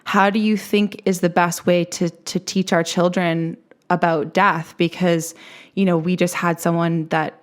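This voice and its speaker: A woman podcast host with a slightly low voice talks through a personal question in an easy, conversational way. She pauses and restarts as she searches for the right words. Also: little pitch movement. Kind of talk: casual conversational interview.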